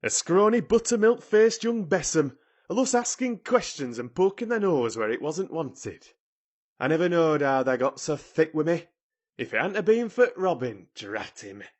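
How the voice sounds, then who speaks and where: A man voicing an indignant old Yorkshire gardener who harangues loudly in dialect, for a storybook reading. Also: on the high side, sing-song, normal speed, pitched above the performer's own voice. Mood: indignant scolding. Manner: haranguing, loud and outraged.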